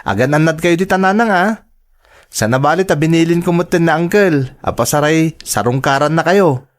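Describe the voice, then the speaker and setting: A man's voice: a little high, moderate pace, natural voice. A man playing an affectionate, earnest adult son working abroad, in a dramatic radio-style performance. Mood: affectionate, upbeat.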